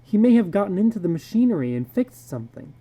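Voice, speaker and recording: A man's voice: high voice, sing-song, fast talker, mellow, husky, voice pushed up, full character voice. A man voicing a thoughtful, worried wife and mother in a story, in a tentative, reasoning way. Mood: worried, speculating.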